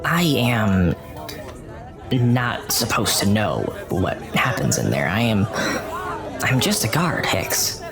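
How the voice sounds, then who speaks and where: A man voicing a proud, reserved female sentinel guard in a tabletop roleplay, speaking in a measured way. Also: on the low side, sing-song, gravelly, heavily acted. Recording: loud music and crowd behind.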